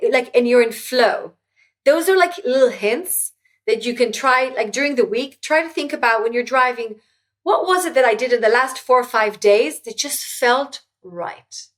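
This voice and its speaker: A fairly high-voiced woman whose first language is Hebrew speaks as an interview guest. She is earnest and reflective, often stopping to restart a sentence. She sounds middle-aged. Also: expressive, normal speed. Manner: earnest, many restarts and fillers. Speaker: guest, author.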